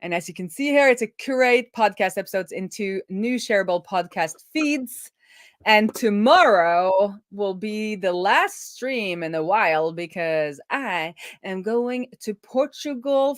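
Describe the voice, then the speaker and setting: A woman's voice: mid-range voice, dry recording, clean studio sound. A middle-aged woman hosting a livestream in casual, conversational chat. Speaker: livestream host.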